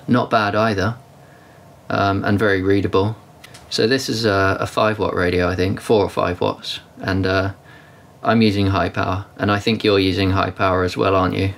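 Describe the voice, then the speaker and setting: A man's voice: lowish voice, gravelly, fairly bright tone. A man giving a casual hands-on radio demo, narrating as an amateur radio reviewer.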